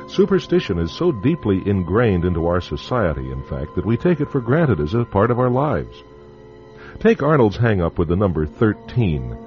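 A man with a very deep voice hosts and narrates a vintage radio suspense show. He sounds theatrical and knowing, with pauses for effect and a pitch that swings widely. The recording is dull and muffled, with faint music beneath. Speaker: host narrator. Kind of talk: radio drama host narration.

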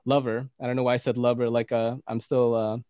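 A man with a Filipino accent hosts a podcast in relaxed, slangy banter. He laughs easily and talks at a middling pitch. His voice sounds close and dry. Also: a little fast, smooth, no room sound, muffled recording. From Philippines. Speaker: podcast host. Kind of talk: casual chat.

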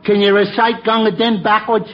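An actor playing a dim-witted, blunt tavern regular in a 1940s radio comedy. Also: male voice, high voice, flat delivery, moderate pace, natural voice. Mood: blunt, mocking. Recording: muffled, noisy vintage radio recording.

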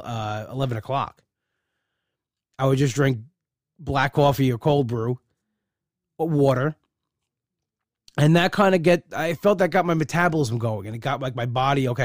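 A man's voice: medium pitch, dry recording. Rambling, candid man on a dry mic. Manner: rambling, candid, many restarts. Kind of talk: casual personal monologue.

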